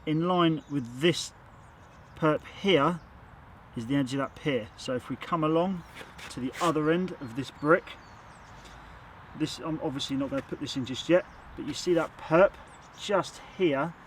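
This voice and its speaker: A man's voice: a little high, unhurried, a little hiss or hum. A man with a sore throat, chatting casually while he teaches bricklaying on site. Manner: chatty, rambling, self-admittedly waffles. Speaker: bricklayer teaching on his channel. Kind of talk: casual how-to tutorial.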